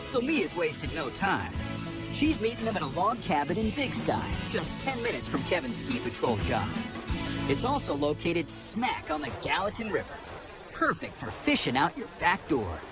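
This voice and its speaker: A woman's voice: fairly low pitch, big pitch swings, moderate pace. A woman reading scripted reality TV narration in an upbeat, polished way. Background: background music.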